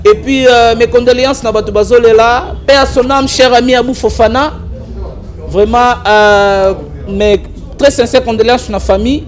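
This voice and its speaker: Man, Congolese accent, very high voice, argumentative commentator, faint background music. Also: moderate pace. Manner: animated, argumentative, mixes French and Lingala. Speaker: political commentator. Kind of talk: political talk show chat.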